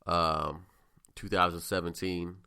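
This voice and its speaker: A man's voice: low-pitched, little pitch movement, slow, creaky, pushed and bright, clean tone. A man in his twenties hosting a casual solo podcast, congested and sniffling, chatting loosely with rambling asides. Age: 20s. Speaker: podcast host.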